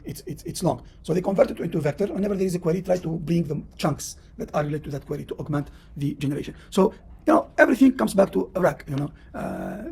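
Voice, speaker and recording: Fast-talking Tunisian professor, husky voice. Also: male voice, on the high side, a little mellow. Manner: explains at length, full of "uh" and "you know". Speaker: university professor. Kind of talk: classroom lecture.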